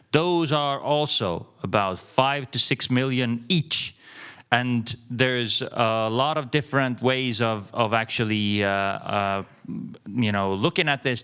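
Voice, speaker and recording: A man hosting a conference meetup, explaining funding calls and introducing a speaker in a relaxed way with fillers. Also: mid-range voice, smooth. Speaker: event organizer, host.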